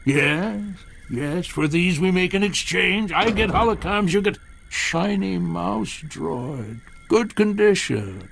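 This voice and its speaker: An actor voicing an eager alien droid merchant with a gravelly voice and clipped, broken, repetitive phrasing for a game. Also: male voice, high voice, animated, slow pace, fairly bright tone, slight background noise, full character voice. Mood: eager haggling.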